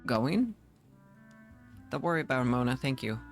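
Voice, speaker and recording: A woman with a very low voice streams a story game. She reads the dialogue aloud and drops in casual asides. Music plays softly behind her. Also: lively intonation, normal speed.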